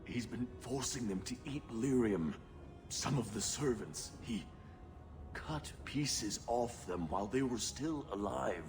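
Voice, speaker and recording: A man playing a frightened, haunted dwarf servant in a video game, speaking gravely and pleadingly. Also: medium pitch, expressive, slow, over music. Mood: fearful, pleading. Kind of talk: video game dramatic acting.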